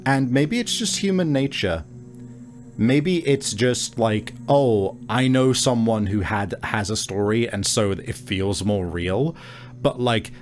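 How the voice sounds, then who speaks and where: A man working as game master, improvising narration in an informal, halting way as he searches for words. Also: lowish voice. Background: background music.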